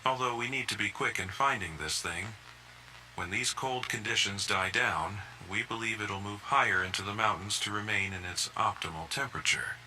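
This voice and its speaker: Guy acting as a grave official, deep voice but very sharp, scripted statement, slight noise. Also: normal speed, low-quality recording. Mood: grave, determined. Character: grave male official tracking a dangerous animal.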